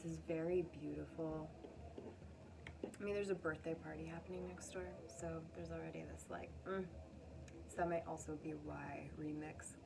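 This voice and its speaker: A woman doing a casual, spontaneous intuitive tarot reading, with dramatic, drawn-out phrasing and pauses. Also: low-pitched, steady tone, gravelly, background noise. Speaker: tarot reader.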